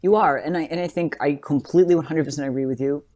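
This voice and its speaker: A woman co-hosting a podcast, chatting analytically and casually, choosing words in a measured, thoughtful way. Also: medium pitch, a little mellow. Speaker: podcast co-host.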